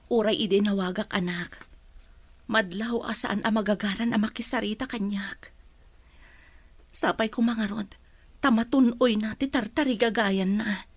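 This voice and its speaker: A woman's voice: medium pitch, measured pace, pauses often, no echo. An actress playing a mother talking with her grown daughter in a radio drama, with a Filipino accent. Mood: concerned. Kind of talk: Ilocano radio drama acting.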